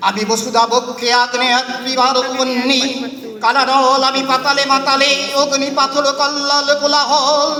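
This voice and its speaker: High-pitched man declaiming poetry in a reverberant hall. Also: monotone, moderate pace, bright, forceful tone. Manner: emphatic, incantatory delivery in short phrases. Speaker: poetry reciter. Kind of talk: dramatic, declamatory poetry recitation. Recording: echoey hall reverb, distant voice.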